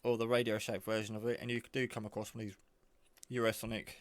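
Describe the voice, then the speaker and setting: A man giving a casual, off-the-cuff product demo, rambling in a low-key way as he thinks aloud. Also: level tone, fairly quick, no room sound. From England. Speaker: hobbyist reviewer.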